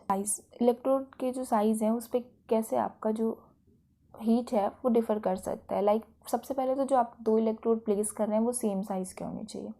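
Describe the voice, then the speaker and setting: High, mellow-voiced Indian woman lecturing. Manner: didactic, with frequent check-in tags. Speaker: physiotherapy lecturer. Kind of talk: explanatory video lecture.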